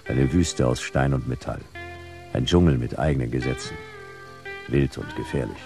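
An actor playing a hard-boiled detective narrating his case in a noir radio play, gravelly, in terse, clipped phrases. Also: moderate pace, darkish tone. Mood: grim. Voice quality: very deep, gravelly. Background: music.

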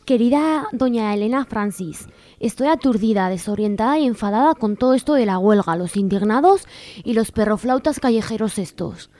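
A woman's voice: on the high side, animated, moderate pace, warm tone, natural voice. A woman playing a naive, indignant posh young woman in a parody advice letter, with affected, melodramatic talk. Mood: bewildered, indignant.

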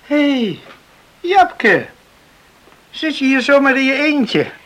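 A man's voice: very high-pitched, big pitch swings, takes it slowly, a bit bright, full character voice. An actor playing an eager, caring young countryman in a Dutch radio drama, speaking earnestly and solicitously. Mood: tender, caring.

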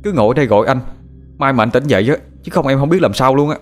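A man's voice: medium pitch, animated, very fast, faint music behind, voice pushed up, natural voice. A man voicing a cowardly, clingy younger brother with a frantic, whiny, pleading manner in a horror story. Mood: anxious. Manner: wailing, pleading.

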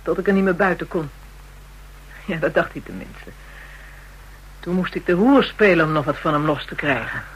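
A tough, taunting underworld woman in a radio drama, her voice low for a woman and husky. She speaks in colloquial, scornful jabs with a self-pitying edge. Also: moderate pace, pauses often, rough recording. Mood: scornful, defiant. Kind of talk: Dutch radio drama acting.

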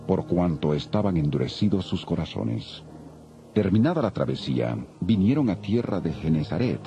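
Deep, expressive solemn male narrator. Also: slightly rough. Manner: solemn, measured storytelling. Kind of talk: dramatized scripted Bible narration. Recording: dull lo-fi audio, faint music.

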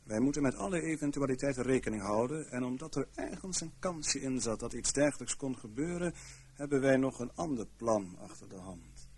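A man's voice: medium pitch, lively intonation, a little fast, darkish tone, slight background noise, lightly acted. A man acting in a Dutch radio play as a stern, sardonic intelligence chief, with clipped authority and dry sarcasm. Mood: coolly commanding. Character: stern, commanding spy chief, coolly sardonic official.

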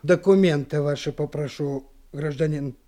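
A suspicious policeman, played by a man in a radio play, with a notably high voice. He is officious and keeps pressing his questions insistently. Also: fairly even intonation, moderate pace, dark tone, natural voice. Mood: stern, suspicious.

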